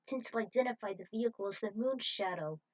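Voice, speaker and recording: A woman reading her own fan fiction story aloud in an even, steady narration, then chatting about it. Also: mid-range voice, quick pace, rough recording.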